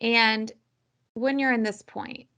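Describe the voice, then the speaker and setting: A woman's voice: on the high side, fairly even intonation, takes it slowly, bright, forceful tone. A woman hosting a podcast interview, casually asking short clarifying questions. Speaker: podcast host.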